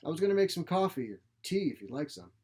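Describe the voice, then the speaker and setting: A feisty old woman, acted out by a male narrator, speaks in a blunt, folksy, grumbling way. She is a sharp-tongued storybook mother who minces nothing. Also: on the high side, sing-song, fast, slightly rough, voice pushed up. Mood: frank, scornful. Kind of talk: short story character reading.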